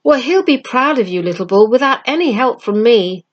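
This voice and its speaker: A woman's voice: on the high side, expressive, normal speed, muffled recording, voice pushed up. Woman reading as an earnest, hesitant schoolboy. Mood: gentle, concerned. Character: earnest, gentle schoolboy, thoughtful and apologetic.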